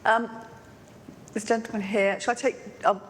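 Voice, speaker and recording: A woman hosting a live Q&A, briskly picking out who asks next. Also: mid-range voice, flat delivery. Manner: brisk, organizing. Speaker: session host and moderator. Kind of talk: moderating a Q&A. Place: large lecture hall. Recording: reverberant hall with faint noise.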